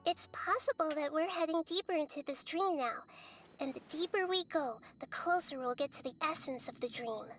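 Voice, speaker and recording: Wise little game goddess: high child's voice, soft, measured, gently explanatory, over music. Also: female voice. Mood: thoughtful. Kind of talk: video game character acting.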